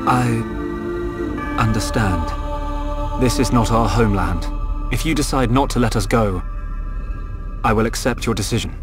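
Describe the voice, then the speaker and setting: A man's voice: medium pitch, normal speed, long pauses, over loud music, close to an everyday voice. A man playing an earnest, determined and respectful young hero in a video game, speaking sincerely. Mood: subdued, respectful. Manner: sincere, polite. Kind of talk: dramatic video game cutscene acting.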